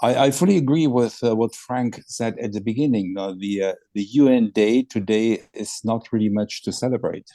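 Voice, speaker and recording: Low, mellow-voiced man making analytical points. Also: no echo. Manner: measured, analytical, with frequent fillers. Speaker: discussion participant. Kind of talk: online discussion remarks. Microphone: video call.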